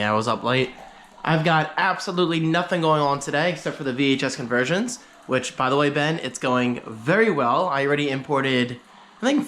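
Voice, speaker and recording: Guy vlogging at home, bit congested, chatty and upbeat, voice all over the place pitch-wise. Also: a bit bright, slight background noise. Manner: chatty, upbeat, rambling aside-filled talk. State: slightly congested. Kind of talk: casual daily vlog chat to camera. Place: at home, indoors.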